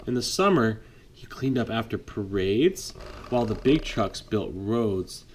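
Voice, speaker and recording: A man reading a picture book aloud to his child at bedtime, lively, pausing to chat with the child. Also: on the low side, slight background noise. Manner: lively read-aloud, voices for the trucks, chats with the child. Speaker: father reading a bedtime story.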